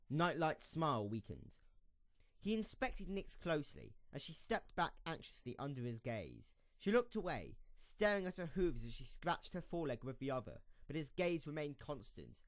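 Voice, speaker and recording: A man narrating a scripted dramatic story as the narrator of an audio drama. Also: a little high.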